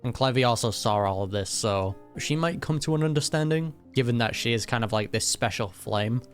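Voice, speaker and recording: A man's voice: mid-range voice, brisk. A man giving casual reaction commentary on a video game, informal and thoughtful, with blunt wording. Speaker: gaming reaction host. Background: game music.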